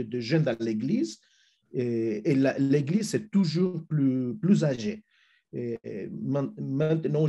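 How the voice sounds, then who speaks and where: A man with a Spanish accent, Spanish being his first language, giving a church webinar presentation over a video call, halting with many restarts. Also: mid-range voice, warm tone, husky. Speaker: youth ministry speaker.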